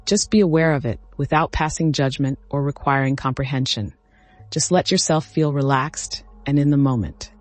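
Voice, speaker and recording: A man narrating a scripted guided meditation as the guide, giving instructions in measured phrases with short cues. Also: mid-range voice, no room sound. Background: background music.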